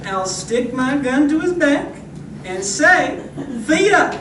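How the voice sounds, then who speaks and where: A woman's voice: high-pitched, sing-song, slow, exaggerated voice. A woman playing a dim, boastful male cowpoke deputy in a comic old-time radio Western, silly and swaggering. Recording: distant, echoey, noisy live stage recording.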